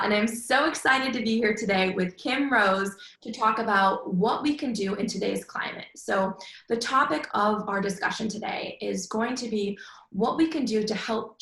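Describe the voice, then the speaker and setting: A woman's voice: medium pitch, creaky, fairly bright tone, roomy sound, rough recording. A woman dietitian opening a discussion in an enthusiastic, chatty way with frequent fillers. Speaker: dietitian, co-host. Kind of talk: casual conversational introduction.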